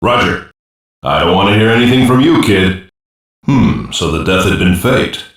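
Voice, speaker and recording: Heard off the mic in a strongly echoing space, a male special-ops soldier with a lowish voice. He is gruff and cocky, with a casual, sardonic edge in dubbed anime game style. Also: lively intonation, moderate pace, fairly bright tone, far from the mic. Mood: gruff, wry. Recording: roomy heavy echo, off mic.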